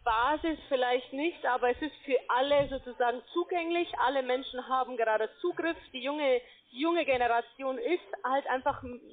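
A woman's voice: high-pitched, normal speed, hardly any pauses. A woman working as a phone sales agent, speaking defensively and persistently in an argument. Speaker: telephone sales agent, account manager. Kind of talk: sales call turned argument. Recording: thin, band-limited phone-line sound.

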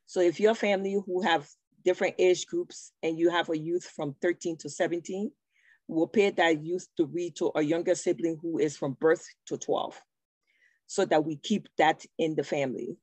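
A woman's voice: fairly low pitch, fairly even intonation, normal speed, darkish tone, dry recording, poor audio. Earnest middle-aged woman from Liberia. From Liberia, West Africa. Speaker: nonprofit founder, panelist. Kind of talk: personal introduction on a video call. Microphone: video call.

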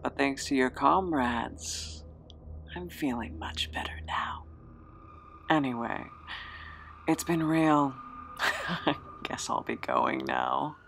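Gravelly, sardonic immortal drifter, played by an actor. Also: medium pitch, sing-song, takes it slowly, long pauses, a bit bright, a little hiss or hum, exaggerated voice. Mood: wistful, bitter. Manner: flippant, wry, breathy asides and chuckles. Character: Napoleon, sardonic, embittered immortal drifter with fractured memory. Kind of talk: audio drama acting.

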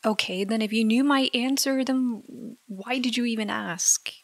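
A woman playing a jealous, hurt girlfriend in an ASMR roleplay argument, halting and exasperated, with sarcastic edges. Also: fairly high pitch, gravelly, no echo. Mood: sarcastic, upset. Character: jealous, hurt young girlfriend arguing with partner. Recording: dry close mic, rain behind. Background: rain.

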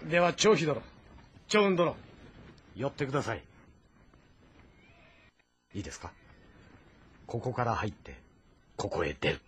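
A man playing a shrewd, composed ancient Chinese military strategist in a game drama, speaking formally and in measured explanations. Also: mid-range voice, huge pitch swings, a bit bright, a little hiss or hum. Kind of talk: dramatic audio-novel acting in a video game.

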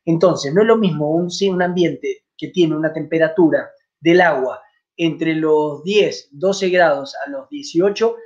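A man's voice: fairly high pitch, unhurried, darkish tone. Argentine man, 40s, stream host, rambling filler-heavy chat, muffled lo-fi webcam sound. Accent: Argentine Spanish. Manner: chatty, many fillers and restarts. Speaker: fly fishing channel host. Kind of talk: live stream Q&A. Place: home room, indoors. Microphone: webcam at desk.

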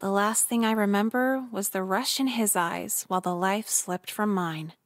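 A woman playing a jaded, sarcastic seventeen-year-old schoolgirl in a visual novel game, deadpan and flippant. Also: mid-range voice, moderate pace, natural voice. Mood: bleak, deadpan. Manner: deadpan, flippant, dark humour.

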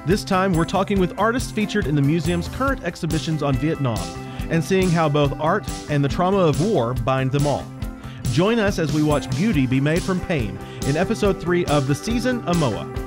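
A man's voice: a little high, expressive, moderate pace. An American man reading scripted narration as host of a television program. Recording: music bed behind voice. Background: background music.

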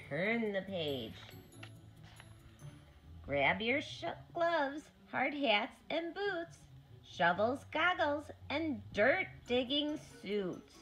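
A woman reading a rhyming picture book aloud to young children in an animated story-time style, with deliberate phrasing. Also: sits high, soft background music.

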